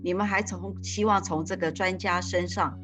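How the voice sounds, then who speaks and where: Live interpreter: a woman with a fairly low voice who hesitates and restarts often, with music in the background. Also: fairly even intonation, normal speed.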